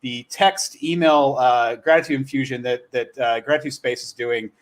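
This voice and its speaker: A man's voice: medium pitch, steady tone, moderate pace. A man hosting a casual livestream chat in a rambling, self-correcting way. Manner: rambling, self-correcting, frequent "you know" and "like". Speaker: host.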